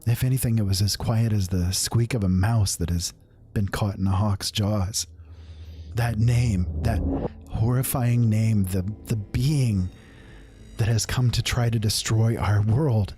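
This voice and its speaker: A man narrating a dark fantasy horror story in an earnest, dramatic way. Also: lowish voice, fairly even intonation, unhurried.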